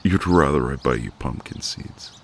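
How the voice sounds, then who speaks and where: A man playing a flirty, cocky but gentle ex-marine neighbour in an intimate ASMR roleplay, teasing in a folksy way. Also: very deep voice, normal speed, a little hiss or hum.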